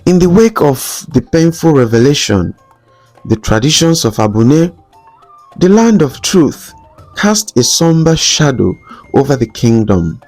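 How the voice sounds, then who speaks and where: A man narrating a scripted folktale in a solemn, dramatic storytelling manner with measured phrasing. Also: medium pitch, big pitch swings, a little mellow. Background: background music.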